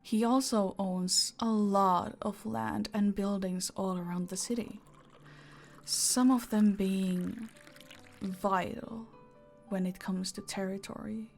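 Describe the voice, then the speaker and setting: A woman playing a calm, dominant female mafia boss in an audio roleplay, teasing and deliberate, often slipping into a breathy whisper. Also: medium pitch. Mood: calm, explaining. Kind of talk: roleplay audio acting, intimate and slow. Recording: music behind the voice.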